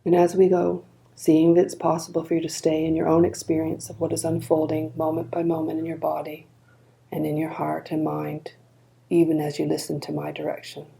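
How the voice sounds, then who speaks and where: A woman leading a guided body scan meditation in a calm, gentle, unhurried manner. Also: sits low, creaky, poor audio.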